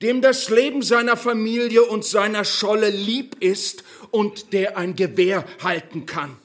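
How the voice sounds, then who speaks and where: An actor playing a pompous rural Nazi functionary in a radio play, giving a declamatory, unctuous speech with dramatic pauses. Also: male voice, high voice, a bit bright. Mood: fervent, rousing.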